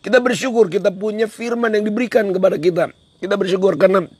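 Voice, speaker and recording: Hoarse, high-pitched male preacher. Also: fast, a little mellow, no echo. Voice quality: hoarse, husky. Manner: emphatic, repeats phrases for effect. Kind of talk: devotional sermon on a livestream, switching into English at times.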